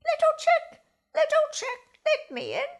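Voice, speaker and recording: A woman voicing a good little male fox in a storybook, calling out in a sing-song way, merry and pleading but quick to anger. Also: very high voice, huge pitch swings, voice pushed up, heavily acted.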